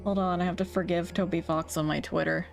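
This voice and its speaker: A woman's voice: lowish voice, fairly even intonation, fairly quick, gravelly. A woman with a scratchy, strained voice, talking bluntly through a game and swearing often. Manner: blunt, frequent swearing, rambling. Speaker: gaming streamer. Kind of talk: casual gameplay commentary. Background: game music.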